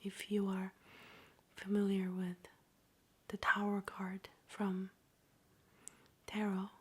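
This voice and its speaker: A woman guiding an ASMR meditation in a soft, breathy whisper with a gentle, soothing delivery. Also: mid-range voice, monotone. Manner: slow, gentle, soothing delivery with long pauses.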